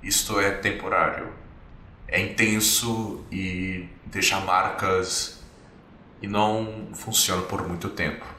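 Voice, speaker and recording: A man, running a tabletop game in a measured, explanatory way. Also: low-pitched, steady tone, sharp tone, roomy sound, far from the mic, a little hiss or hum. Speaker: game master. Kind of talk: narrating a tabletop game and voicing a vampire.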